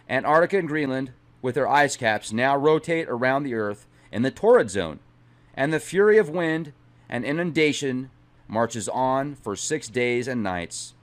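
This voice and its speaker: A middle-aged man hosting a channel, speaking in a dramatic, emphatic way as he reads aloud and comments. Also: medium pitch. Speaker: channel host. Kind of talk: dramatic reading aloud with casual asides.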